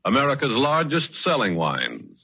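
An actor playing a sociable, folksy wine dealer in a 1940s radio advertisement. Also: male voice, medium pitch, wildly swooping pitch, normal speed, slightly rough, heavily acted. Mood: folksy, satisfied. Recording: muffled old 1940s radio recording.

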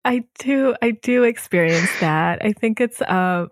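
A woman's voice: medium pitch, a little slow, no room sound, clean studio sound. A woman hosting a podcast interview who talks in a chatty, relatable way and laughs often. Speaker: podcast host. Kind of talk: casual interview conversation.

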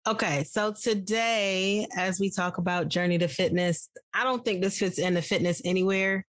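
A woman's voice: mid-range voice, fairly even intonation, normal speed, no echo. Playful woman co-hosting a podcast. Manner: playful, self-mocking banter. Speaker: podcast co-host. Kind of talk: casual chat.

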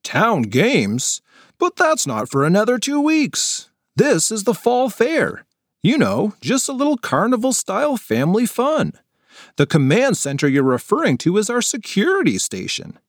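A man's voice: high-pitched, wildly swooping pitch, measured pace, dry recording, studio quality, voice pushed up, exaggerated voice. A man voicing a stern adult security worker at a fair, scolding and matter-of-fact.

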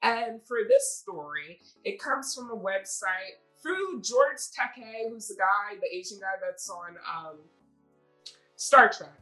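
A woman podcast host chatting casually and reading stories aloud, addressing a co-host in an animated storytelling way. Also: mid-range voice, slow, soft background music, poor audio.

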